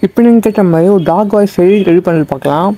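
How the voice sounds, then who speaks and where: A mellow-voiced presenter narrates a casual software tutorial. The delivery is very quick and instructional, moving step by step at a medium pitch. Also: a little hiss or hum.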